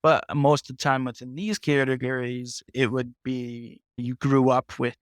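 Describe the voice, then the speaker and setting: A man with a smooth, resonant voice at a middling pitch, speaking in a measured, explanatory way. The sound is dry and close-miked. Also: level tone, no room sound. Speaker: podcast co-host. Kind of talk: casual faith discussion.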